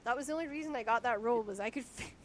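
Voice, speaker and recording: A woman from Shetland hosting a podcast interview, chatty and self-deprecating, with a naturally squeaky voice and frequent laughter. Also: racing. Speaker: podcast host. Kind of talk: casual conversational interview.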